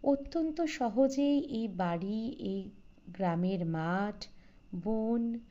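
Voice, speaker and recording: A woman narrates a literary story at a slow, measured pace. Her voice is mellow and mid-pitched, with expressive phrasing. The recording is slightly dull. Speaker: audiobook narrator.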